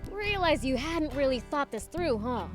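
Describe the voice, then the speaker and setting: A woman's voice: high voice, big pitch swings, moderate pace, soft background music. A woman voicing a cocky, blunt, mischievous youngster in a video game, casual and teasing. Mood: laid-back, teasing. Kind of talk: video game cutscene acting.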